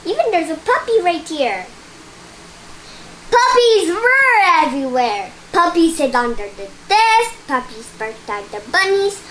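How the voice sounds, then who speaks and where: High-pitched child reading a picture book. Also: sing-song, a bit bright, a little hiss or hum. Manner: halting reading, stumbles and repeats words. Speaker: storytime reader. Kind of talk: read-aloud of a children's picture book with spoken asides.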